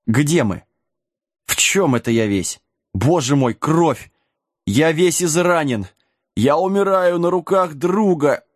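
A man's voice: medium pitch, expressive, takes it slowly, long pauses, slightly rough, no echo, studio quality. A man playing a cheeky, melodramatic young sorcerer's apprentice in a fantasy audiobook, whiny and mocking. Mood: panicked. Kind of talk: audiobook character acting.

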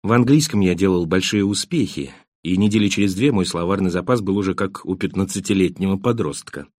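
A man's voice: low-pitched, fairly quick, darkish tone, no echo, studio quality. A man reading literary prose as an audiobook narrator, acting out the dialogue in a scripted style.

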